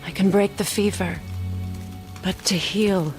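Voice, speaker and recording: A woman playing a grave, knowing healer in a Norse fantasy video game, speaking in a measured, serious way. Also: mid-range voice, fairly even intonation, faint music behind, close to an everyday voice. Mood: sober, concerned.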